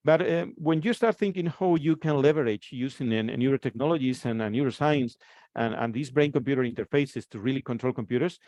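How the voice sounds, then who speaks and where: A man's voice: medium pitch, fairly quick, a little mellow, no echo. A man from Spain in his forties with a Spanish accent, giving a conference presentation and often repeating words as he restarts his phrases.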